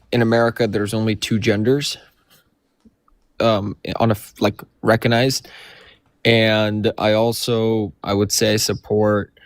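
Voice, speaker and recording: Teen guy, stream caller, hesitant with many ums, lowish voice, dry close sound. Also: dry recording. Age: teens. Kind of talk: live-stream call-in.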